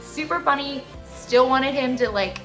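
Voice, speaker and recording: A woman's voice: high-pitched, unhurried, a bit bright, faint music behind. A young American woman hosting a casual movie review, gushing and emphatic, with slangy phrasing. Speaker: movie podcast host.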